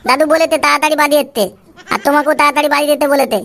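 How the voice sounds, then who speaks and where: A woman's voice: high voice, steady tone, racing. A woman voicing a whiny, stubborn little boy in a comic cartoon, insistent and repetitive. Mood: demanding, whiny. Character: nagging, stubborn little boy demanding a soft drink. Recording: clear background noise.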